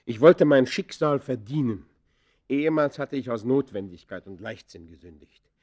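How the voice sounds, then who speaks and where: An actor playing an embittered outlaw poacher, confessing in the theatrical, literary manner of a classic German radio play. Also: male voice, medium pitch, normal speed, darkish tone, close to an everyday voice. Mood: defiant, bitter.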